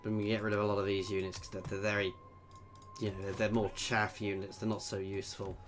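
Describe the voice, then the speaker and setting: A man in relaxed commentary over a strategy game, musing aloud about his next move and answering his viewers. His voice sits on the low side, and a faint hiss runs under the recording. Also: fast talker. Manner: chatty, thinking aloud, reads out chat messages. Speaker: gameplay video creator.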